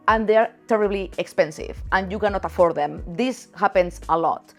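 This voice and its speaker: A woman in her thirties from Spain with a Spanish accent, talking frankly and chattily to camera as a photography vlogger, laughing easily. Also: mid-range voice. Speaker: photography youtuber. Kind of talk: casual direct-to-camera talk.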